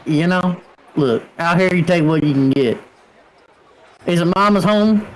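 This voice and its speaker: A man's voice: high-pitched, unhurried, slight background noise, poor audio. A man playing a tabletop game, bantering casually in character.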